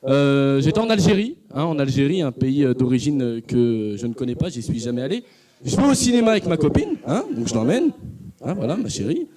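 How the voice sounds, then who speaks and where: A man performing a stand-up comedy routine, telling an animated joking story with quick asides. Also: mid-range voice.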